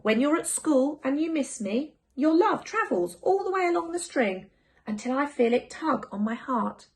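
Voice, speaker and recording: A woman voicing a gentle, reassuring mother of young twins in a children's picture book, patient and soothing. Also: sits high, lively intonation, normal speed, voice pushed up.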